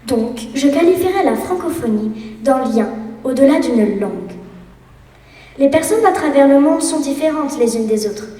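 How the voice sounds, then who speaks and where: Girl, eleven, high mellow voice, earnest measured speech, distant in a roomy school hall. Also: lively intonation. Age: child of 11. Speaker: student speaker in a speech contest. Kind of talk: recited contest speech. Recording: echoey hall, voice from far off.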